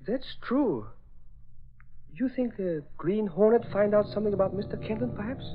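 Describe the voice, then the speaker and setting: Deferential valet. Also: male voice, high voice, lively intonation, moderate pace. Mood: respectful, inquisitive. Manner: clipped broken English, respectful. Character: loyal, deferential foreign valet and sidekick. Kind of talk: 1940s radio drama acting. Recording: dull old radio recording.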